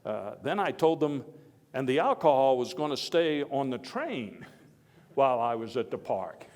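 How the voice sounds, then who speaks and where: A man's voice: medium pitch, very animated, a little mellow, natural voice. Man playing a folksy old-time statesman in an echoey hall. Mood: reminiscing. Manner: folksy, halting, with oratorical emphasis and wry asides. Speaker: historical reenactor. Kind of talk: live first-person historical monologue, speech to an audience. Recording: echoey hall with long reverb.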